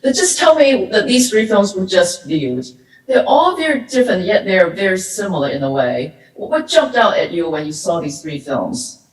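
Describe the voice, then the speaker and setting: A woman interviewing a guest at a festival, enthusiastic and quick to laugh. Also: mid-range voice, big pitch swings. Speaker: interviewer, host. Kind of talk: panel interview. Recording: echoey hall.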